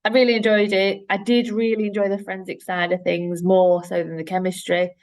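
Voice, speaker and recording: A woman's voice: medium pitch, flat delivery, a little fast. A woman in her late thirties with a Yorkshire accent, speaking frankly and directly as a guest in a podcast interview, with frequent fillers. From Yorkshire, England. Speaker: guest, head of operations. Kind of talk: podcast interview, casual chat.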